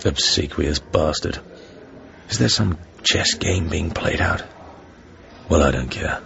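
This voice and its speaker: An actor playing a cool, worldly British secret agent in a radio drama, with an English accent and a laconic, wry manner. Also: male voice, extremely low pitch, lively intonation, slow pace, creaky, dull audio, background noise, exaggerated voice. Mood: cool, resolute.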